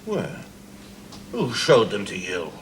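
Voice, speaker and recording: A man's voice: lowish voice, huge pitch swings, slow, full character voice. A man acting in a 1970s television drama as an awkward, earnest inventor, hesitant but insistent. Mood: pleading, indignant. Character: earnest, awkward, pleading male engineer and inventor. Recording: noisy 1970s television soundtrack.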